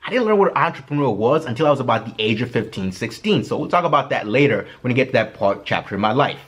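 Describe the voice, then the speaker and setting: Young Kenyan-accented man, early twenties, vlog host; lively, swooping delivery with self-deprecating jokes. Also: medium pitch, quick pace. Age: early 20s. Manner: chatty, animated, self-deprecating humor. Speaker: vlogger. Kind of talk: casual personal storytelling to camera.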